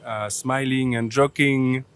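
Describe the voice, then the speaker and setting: A man with a French accent speaks in a medium-pitched voice. He is a traveler giving casual interview answers, deliberately pausing between phrases. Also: fairly even intonation, a little hiss or hum. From France.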